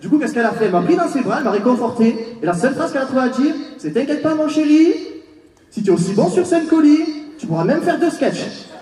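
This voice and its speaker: A man doing a stand-up comedy set on an open-air theatre stage, telling quick stories with deadpan punchlines. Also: extremely high pitch, some chatter behind, a little hiss or hum. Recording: distant, echoey open-air stage with audience.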